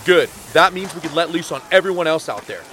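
A man's voice: fairly high pitch, lively intonation, fast talker, a little hiss or hum. A man playing a gung-ho squad leader of zombie survivors in an audio drama. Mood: rallying. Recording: loud music and gunfire behind.

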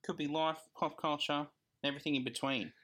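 Aussie bloke co-hosting, laid-back and dry, bright kinda husky voice. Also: male voice, a little high, steady tone, fast. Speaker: podcast co-host. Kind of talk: casual podcast chat.

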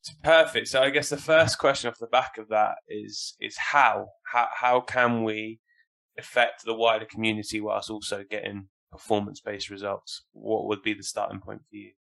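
Gravelly-voiced male podcast host. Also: lowish voice, little pitch movement, normal speed, a bit bright. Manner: casual, hesitant questions. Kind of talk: podcast intro and interview questions.

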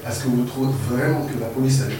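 Male reporter, halting questions, recorded far off in heavy echo with noise. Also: mid-range voice. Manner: hesitant, halting questions with fillers. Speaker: journalist asking questions. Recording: echoey and distant, noisy.

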